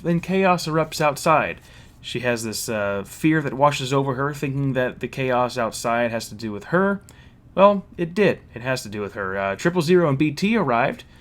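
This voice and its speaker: A man casually recapping a story as a reviewer, with an animated manner and mocking asides. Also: a little high. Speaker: reviewer hosting a fan recap. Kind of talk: casual spoken plot recap and commentary.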